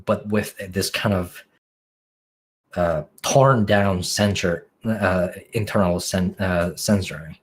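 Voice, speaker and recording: Deep-voiced man with a Finnish accent. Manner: musing, searching for words with many fillers and restarts. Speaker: podcast guest, music producer. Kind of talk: reflective podcast interview answers.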